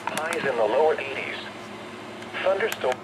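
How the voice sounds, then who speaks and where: A male announcer reads a scripted weather forecast at a middle pitch. The voice comes out of a small radio speaker, with clear noise around it. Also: expressive, normal speed. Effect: played through a small radio speaker. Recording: noisy weather radio speaker playback in room.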